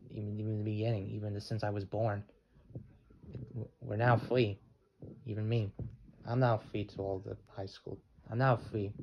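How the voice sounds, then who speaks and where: An eighteen-year-old man talking in a rambling vlog, halting and restarting his sentences often. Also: lowish voice, vocal fry. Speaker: channel owner. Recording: dull lo-fi with faint noise.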